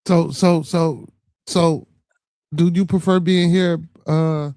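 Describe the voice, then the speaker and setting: A man hosting a casual interview, working through his questions deliberately and rephrasing them as he goes. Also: on the high side, fairly even intonation, warm tone, no room sound, studio quality. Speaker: host.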